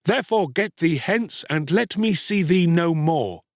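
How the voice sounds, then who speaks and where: A male narrator plays a proud young boy from a fairy tale. His pitch sits high and moves widely, and his words are archaic and formal. The recording is close and dry. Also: sing-song, moderate pace, no room sound. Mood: haughty, scornful. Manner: archaic, formal storybook speech. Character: proud, scornful young boy, cruel then remorseful.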